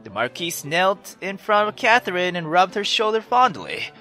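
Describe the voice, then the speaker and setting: A let's player narrating a visual novel aloud in an expressive storytelling manner. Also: mid-range voice, normal speed, faint music behind. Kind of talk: dramatic visual novel narration with brief reactions.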